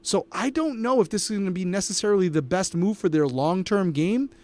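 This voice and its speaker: A man's voice: high voice, fairly quick, darkish tone. A man co-hosting a live podcast recap, talking to his co-host and the chat with emphatic opinions on a studio microphone. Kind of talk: casual live-stream chat.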